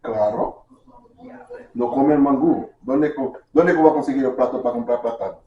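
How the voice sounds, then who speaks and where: A man's voice: mid-range voice, moderate pace, echoey room, recorded from a distance, highs cut off, slight background noise. A man co-hosting a casual podcast, teasing his friend in mock arguments. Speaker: podcast co-host. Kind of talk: casual chat over food.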